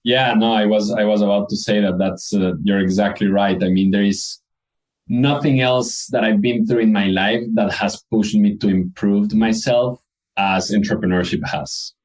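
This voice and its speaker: A man's voice: fairly low pitch, steady tone, moderate pace, a little mellow, low-quality recording. A man from Ecuador with an Ecuadorian accent, a company co-founder answering interview questions in an earnest, reflective way. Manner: earnest, reflective, frequent restarts.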